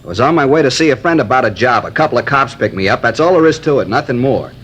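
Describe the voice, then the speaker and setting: A man's voice: medium pitch, expressive, fast talker, a bit bright, lo-fi sound, a little hiss or hum, lightly acted. An actor playing a surly young male robbery suspect under police questioning, in a 1950s radio drama. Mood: defiant. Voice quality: surly, evasive and defiant.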